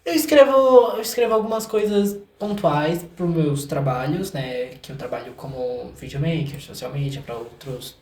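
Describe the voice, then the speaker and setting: A man's voice: a little high, animated, normal speed, few pauses, darkish tone. A young Brazilian man chatting casually and informally, often repeating words for emphasis. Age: young adult. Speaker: interview guest, video maker and film student. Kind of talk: casual chat. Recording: roomy echoing sound.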